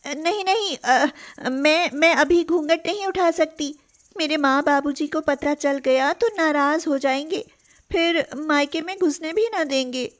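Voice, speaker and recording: A woman playing a timid, anxious young daughter-in-law in an animated story, hesitant and pleading. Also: sits high, a little slow. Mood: nervous, evasive.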